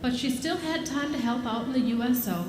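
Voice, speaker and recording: An actress playing a knowing, matter-of-fact heavenly superior who narrates in a live 1940s-style radio play. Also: female voice, on the high side, brisk, noisy background, close to an everyday voice. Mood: storytelling. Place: live stage performance. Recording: roomy stage sound. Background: music.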